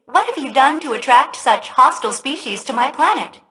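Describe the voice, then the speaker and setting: A woman's voice: high voice, lively intonation, normal speed, background noise. A woman voicing a video game ship AI, precise and polite with a sardonic undercurrent. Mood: chirpy, polite. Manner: precise, falsely cheerful, sardonic. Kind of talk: comic video game dialogue.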